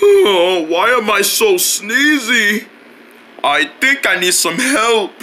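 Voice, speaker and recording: A man voicing a whiny, excitable, clumsy cartoon boy who talks in a childlike, exclamatory way, in an improvised skit. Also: high-pitched, slow, a little hiss or hum, pitched below the performer's own voice, heavily acted. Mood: fretful.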